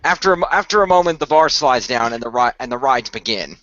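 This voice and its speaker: Halting male storyteller with a bright voice. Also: mid-range voice, lively intonation, lo-fi sound, slight background noise. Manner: halting, restarts phrases. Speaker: narrator and co-creator of a radio play. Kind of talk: improvised story narration.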